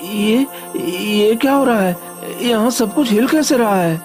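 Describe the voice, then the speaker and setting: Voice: mid-range voice, a little slow, close to an everyday voice. A performer voices a kind, honest young villager from a moral tale. The delivery is earnest and devout, with faint music behind it. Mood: astonished. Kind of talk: acted dialogue in Hindi moral story.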